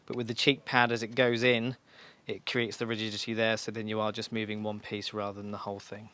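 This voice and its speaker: A man's voice: fairly low pitch, little pitch movement, a little fast, pushed and bright, slight background noise. A man giving an informal, practical step-by-step demonstration in a reassuring, instructional way. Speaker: motorcycle shop staff giving a fitting tutorial.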